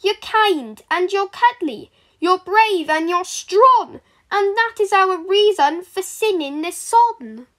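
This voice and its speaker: A woman's voice: very high-pitched, animated, slow pace, few pauses, fairly bright tone, pitched above the performer's own voice, full character voice. A woman voicing a chorus of small baby animals, in a sweet, sing-song rhyming way. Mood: adoring. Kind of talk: reading a rhyming picture book aloud.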